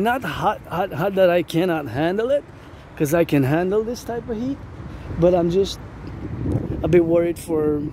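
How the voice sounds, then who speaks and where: Higher-pitched man rambling over loud traffic noise. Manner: repeats words and phrases insistently. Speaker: vlogger. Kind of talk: rambling outdoor monologue. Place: outdoors near a road with vehicles. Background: passing vehicles, urban ambience.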